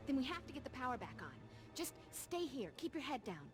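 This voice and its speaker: A woman's voice: sits high, sing-song, brisk. A woman playing a brave, quippy young vampire slayer with an American accent in a video game, wry and breezy. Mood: urgent, decisive. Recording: loud music over the voice.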